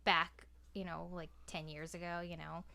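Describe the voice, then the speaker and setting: A woman's voice: lowish voice, little pitch movement, moderate pace, vocal fry, bright, forceful tone. A woman chatting casually as a podcast guest, telling anecdotes in a chatty, storytelling way.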